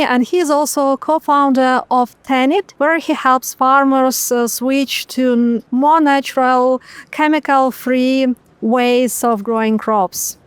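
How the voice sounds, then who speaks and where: A woman's voice: high-pitched, clean tone, no echo. A young woman from Ukraine hosting an interview, speaking English as a with hesitant phrasing and fillers. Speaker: interviewer and channel host.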